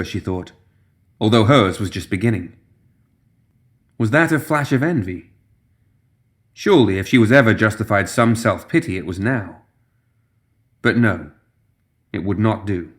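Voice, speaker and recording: A man reading scripted fantasy fiction as a dramatic audiobook narrator. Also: on the low side.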